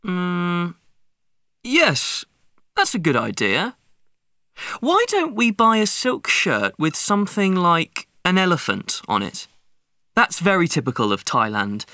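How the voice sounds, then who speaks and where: A woman's voice: on the low side, sing-song, slow pace, pushed and bright, no echo, clean studio sound. A woman in a paired speaking-task discussion, agreeable and offering suggestions.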